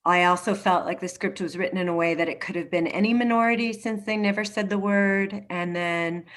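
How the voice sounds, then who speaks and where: A woman hosting an online discussion in a casual, reflective way, haltingly repeating phrases as she thinks, on a video call. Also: medium pitch, a bit bright. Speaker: host of an online discussion after a play reading. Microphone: video call microphone.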